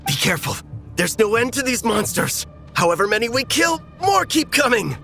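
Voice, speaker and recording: An embattled knight in a video game. His strained voice is pushed very high, with a gravelly creak and sharp edge. The delivery swings widely in pitch. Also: big pitch swings, normal speed, soft background music, full character voice. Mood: desperate. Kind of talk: English video game voice acting.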